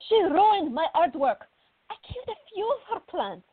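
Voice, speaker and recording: Radio theater part: hot-tempered teenage girl played by a woman, piercingly high, animated, loudly indignant. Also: moderate pace, phone-quality sound. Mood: furious. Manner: yelling, indignant. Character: fiery, furious teenage girl, loud and indignant.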